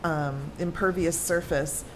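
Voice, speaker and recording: A woman with an American accent speaks at a local board meeting in a somewhat low voice. She presents official business, and there is clear room noise behind her. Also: steady tone, slow pace. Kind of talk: reading a resolution and explaining it informally. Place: indoor town board meeting. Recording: noisy public meeting room ambience.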